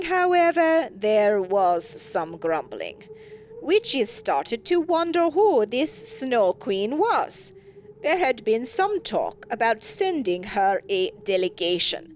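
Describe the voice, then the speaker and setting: Woman narrator, scripted storybook reading, theatrical and wry, lively swooping intonation, unhurried pace. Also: on the high side, slight background noise. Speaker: story reader.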